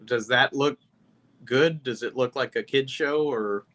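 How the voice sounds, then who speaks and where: A man's voice: mid-range voice, measured pace, a bit bright. A man co-hosting a podcast, asking questions in casual conversation.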